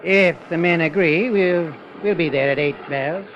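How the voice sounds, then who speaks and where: A man's voice: on the high side, measured pace, lightly acted. A man acting a sly, wheedling sailor in a 1950s radio drama, deferential and hesitant with his officer. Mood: hesitant, wary. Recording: dull, noisy vintage radio recording.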